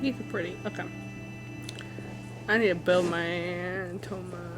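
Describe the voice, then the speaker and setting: A woman's voice: lowish voice, fairly even intonation, unhurried, rough recording. A woman streaming a video game, giving casual commentary on what she plays. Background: game music.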